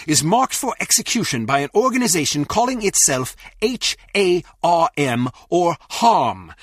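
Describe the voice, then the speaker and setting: An actor playing a stern, condescending spy agency official, delivering formal, clipped mission briefings in a video game. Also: male voice, sits high, a bit bright, slightly rough, dry recording, clean studio sound, exaggerated voice.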